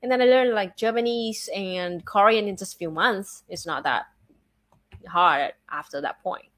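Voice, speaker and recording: A woman's voice: mid-range voice, moderate pace. A woman with a Vietnamese accent, a native Vietnamese speaker talking casually in English, filling her speech with "like" and restarting her sentences. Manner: chatty, with many filler likes and restarts. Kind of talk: casual chat.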